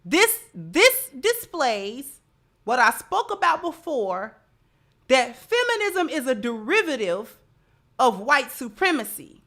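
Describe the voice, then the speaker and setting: A woman's voice: high-pitched, sing-song, takes it slowly, fairly bright tone. A woman hosting livestream social commentary, speaking emphatically and often repeating phrases to drive a point home.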